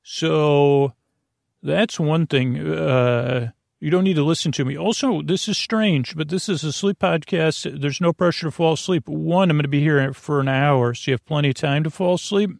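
A man hosting a sleep podcast with a meandering, halting bedtime monologue, often losing his thread and circling back, in a creaky voice. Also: on the high side, no echo, studio quality. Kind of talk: rambling bedtime monologue to the listener.

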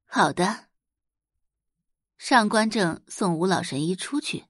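A woman's voice: medium pitch, animated, moderate pace, no echo, studio quality. A woman reading scripted dramatic narration as an audiobook narrator.

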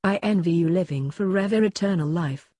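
A woman voicing a gentle, fading female ghost, a sympathetic friend, speaking plainly in a podcast story. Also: lowish voice, brisk, no room sound, close to an everyday voice. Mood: wistful.

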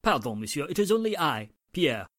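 A man's voice: a little high, sing-song, a little fast, background noise. A man playing a deferential, formal French restaurant man with a French accent, in 1940s radio drama style.

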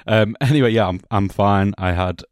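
Host: low man, chatty and jokey, slight cough, dry sound. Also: normal speed, dry recording. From England. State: has a cough. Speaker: podcast host. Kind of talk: casual football podcast chat.

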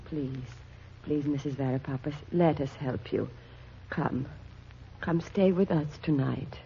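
A man playing a kind, concerned neighbor in a 1940s radio drama, gentle and hushed. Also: on the high side, slow, creaky. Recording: noisy, dull 1940s radio recording.

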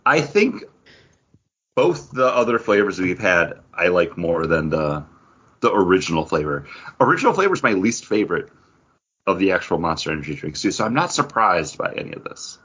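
A man's voice: deep voice, big pitch swings, normal speed, fairly bright tone, slightly rough, muffled recording. A man chatting casually as a playful, joking co-host of a pop culture podcast. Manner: playful, jokey.

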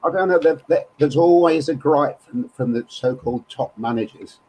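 A man, a guest on a casual chat show, hoarse and hesitant, often repeating words. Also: a little high, moderate pace, a little mellow, rough recording.

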